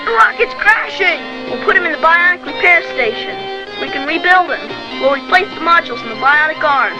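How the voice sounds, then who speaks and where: A woman playing an excited child at rescue play in a 1970s toy commercial. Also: sits high, moderate pace, music in the background, low-quality recording. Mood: urgent, excited.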